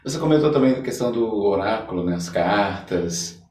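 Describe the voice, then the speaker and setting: A Brazilian man hosting a podcast interview, asking casual questions in a halting way with short affirmations. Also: lowish voice, expressive, darkish tone. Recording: echoey room, distant microphone.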